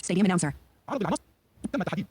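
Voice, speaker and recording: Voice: mid-range voice, expressive, racing, a little mellow, a little hiss or hum. A synthetic screen reader voice announcing short interface labels in clipped, impersonal phrases.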